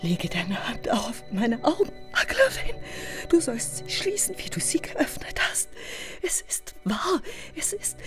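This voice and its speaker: An actress playing a fragile dying young woman in a radio play, weak, breathy and tenderly confessing. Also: a little high, sing-song, unhurried, hardly any pauses. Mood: tender confession. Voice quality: breathy, whispering. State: weak, gasping. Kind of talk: intimate theatrical German radio play acting. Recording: studio radio play with music behind.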